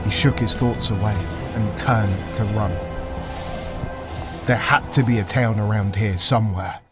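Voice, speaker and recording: A man narrating a dark fantasy audio story in a deliberate, suspenseful storytelling style. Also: fairly low pitch, loud music behind the voice. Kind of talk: dramatic scripted fantasy narration.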